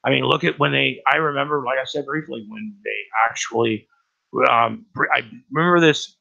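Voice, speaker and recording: Raspy man with a cold, bright pushed tone, rambling profane stream host. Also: mid-range voice, normal speed, dull audio. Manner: profane and rambling, lots of "right?". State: has a cold. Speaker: livestream host. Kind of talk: rambling casual talk about news.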